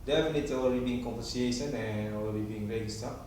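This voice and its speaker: A man from Indonesia with an Indonesian accent, giving a rambling improvised monologue in halting, disjointed English phrasing. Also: mid-range voice, darkish tone. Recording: very echoey room, distant mic, clear noise.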